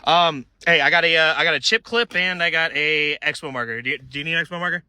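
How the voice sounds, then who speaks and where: A man's voice: a little high, fast talker, bright, forceful tone. A man playing a flustered, exasperated guy pestered for spare change in a comedy sketch, hesitant and incredulous.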